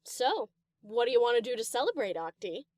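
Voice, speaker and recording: A woman plays a cheeky, easygoing young mare DJ from a cartoon-style audio story. Her voice sits high and moves around freely in pitch, with slangy, playful phrasing. The sound is close and dry. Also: sing-song, fairly quick, darkish tone, dry recording. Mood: casual teasing. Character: laid-back, teasing young female DJ pony. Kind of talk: acted audio story dialogue.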